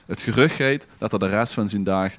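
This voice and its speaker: A man reading a legend aloud in a relaxed, theatrical storytelling manner. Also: fairly low pitch, fairly quick, dry recording. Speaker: podcast host. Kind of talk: dramatic read-aloud storytelling.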